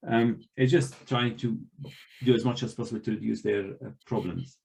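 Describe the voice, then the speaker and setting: A man's voice: medium pitch, gravelly, a little mellow, rough recording. A man who is not a native English speaker, a librarian trainer speaking earnestly on an online panel, with frequent restarts. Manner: earnest, halting, many restarts.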